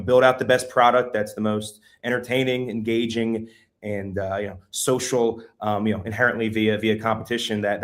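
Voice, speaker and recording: A man appearing as a guest, pitching his app with lots of hesitant fillers. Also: a bit bright. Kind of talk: casual interview promotion.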